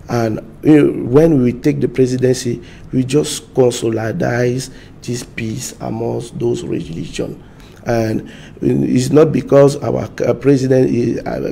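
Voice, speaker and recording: Halting gravelly man. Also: medium pitch, dark tone. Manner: halting, repeats words, searches for English. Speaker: party spokesman. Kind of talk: studio interview answers.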